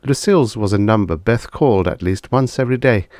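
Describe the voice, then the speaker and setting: A man's voice: lowish voice, fairly quick, a little mellow, no room sound, studio quality. A man narrating scripted fiction as an audiobook reader, telling the story expressively.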